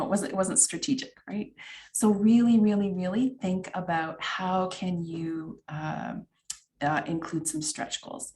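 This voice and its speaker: A woman's voice: lowish voice, darkish tone. Canadian woman, middle-aged, workshop facilitator, chatty with fillers, video-call audio. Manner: chatty, many fillers and asides to the chat. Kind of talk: online workshop teaching.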